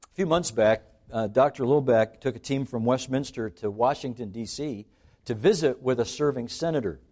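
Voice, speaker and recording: A middle-aged man born in Scotland and raised in Canada, preaching a sermon earnestly, slowing down on key phrases for emphasis. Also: mid-range voice, mellow.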